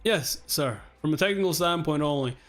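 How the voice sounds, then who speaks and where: A man's voice: fairly high pitch, moderate pace, faint music behind, lightly acted. A man reading aloud the part of a dutiful male senior technical manager in a webtoon, formal, precise and deferential. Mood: factual, deferential. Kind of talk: dramatic read-aloud of webtoon dialogue.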